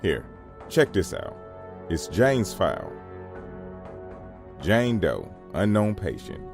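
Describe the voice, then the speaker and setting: A man's voice: low-pitched, wildly swooping pitch, slow pace, creaky, over music, full character voice. An actor playing a protective, hot-headed young man in an audio horror drama, assertive and earnest. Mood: intent, grim.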